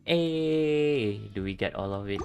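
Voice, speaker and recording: A man's voice: medium pitch, lively intonation, slow pace. A man giving casual live gameplay commentary, swearing and exclaiming as he reacts to the game. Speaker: VTuber game streamer. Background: game music and effects.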